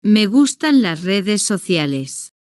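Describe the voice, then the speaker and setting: A woman narrates a beginner's story at a slow, deliberate pace, setting each short sentence apart. Her voice is smooth and well-rounded, with a mid-range pitch. The sound is close and dry, with no trace of the room. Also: very animated, darkish tone, no echo. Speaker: language-learning narrator.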